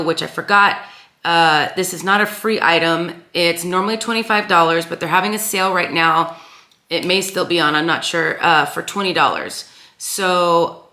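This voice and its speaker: Hoarse raspy woman rambling to her viewers, bright tone, echoey room. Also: lowish voice. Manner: rambling, many ums and uhs, repeats herself. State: raspy voice after sinus infection. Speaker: craft channel host. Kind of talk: casual chat to camera.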